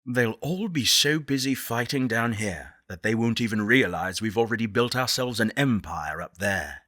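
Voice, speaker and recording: A solemn, visionary leader of a space exodus in a video game. His voice is fairly low with a bright, forceful edge. He orates eloquently and deliberately, leaving dramatic pauses, and the sound is close and dry. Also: animated, no echo. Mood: solemn resolve. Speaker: faction leader. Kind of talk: scripted video game quotations, oratorical.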